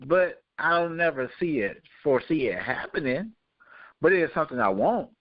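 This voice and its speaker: A man's voice: on the high side, lively intonation, normal speed, dry recording. A man hosting a casual podcast chat, opinionated and emphatic, often repeating himself to make his point. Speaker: podcast host. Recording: dull, muffled lo-fi audio.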